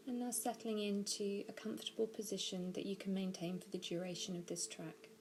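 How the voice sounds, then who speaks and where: A woman narrating a guided body scan meditation, giving gentle, measured instructions slowly with pauses. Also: medium pitch. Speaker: mindfulness practitioner guiding a meditation.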